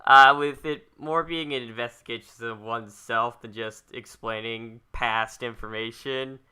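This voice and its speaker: A man co-hosting a casual podcast, asking questions in a halting way and often restarting his phrases. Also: mid-range voice, sharp tone, clean tone. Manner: halting, repeats phrase starts.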